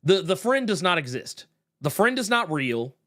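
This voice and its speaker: A man hosting a podcast, talking casually and animatedly, swearing and breaking into quick asides. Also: sits high, sing-song, fairly bright tone. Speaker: podcast host. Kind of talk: casual chat reacting to a video.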